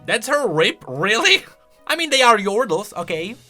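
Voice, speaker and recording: A man with a bright, fairly high voice commentates casually on game lore. His pitch jumps around in an enthusiastic, thinking-aloud way, with restarts along the way and faint music underneath. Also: very animated, unhurried.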